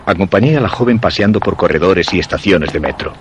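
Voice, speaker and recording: An actor with a Castilian Spanish accent playing a curious, insistent husband who recounts a mysterious romance in measured, literary radio-play style. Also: male voice, low voice, expressive, noisy background. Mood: measured, reflective.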